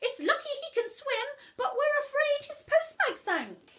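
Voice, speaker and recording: A woman voicing a gossiping spinster neighbour from a storybook, shrill and melodramatic with alarm. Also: very animated, unhurried, fairly bright tone, heavily acted. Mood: alarmed, gossipy.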